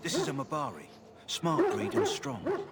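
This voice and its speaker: Animated, earnest hound keeper, noisy background. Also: male voice, high-pitched, normal speed, vocal fry, exaggerated voice. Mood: concerned, earnest. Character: concerned, practical male keeper of war hounds. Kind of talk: scripted video game character dialogue. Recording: very noisy game audio.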